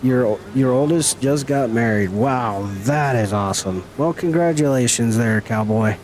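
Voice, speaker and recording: A man's voice: medium pitch, unhurried, a little mellow, low-quality recording. A middle-aged man chatting casually with viewers as he streams a game, folksy and teasing. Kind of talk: casual live-stream chat while gaming.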